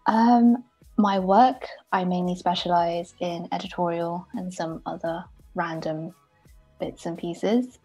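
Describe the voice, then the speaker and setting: A woman's voice: lowish voice, fairly even intonation, slow pace, warm tone, slightly rough, muffled recording, faint music behind. A young woman from Taiwan, an illustrator chatting as a guest over a video call from home, often giggling. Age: young adult. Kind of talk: casual interview chat.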